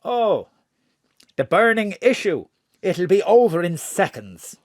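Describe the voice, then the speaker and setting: A woman's voice: fairly low pitch, sing-song, takes it slowly, no room sound, full character voice. Audio drama role: a secretive woman plotter, cryptic wording, very varied intonation, dry close recording. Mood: conspiratorial.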